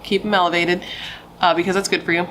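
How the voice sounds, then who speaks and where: A woman's voice: on the low side, level tone, fast, fairly bright tone, slight background noise, poor audio. A young adult woman, a pregnant true crime YouTuber, chatting playfully with dramatic asides and casual swearing.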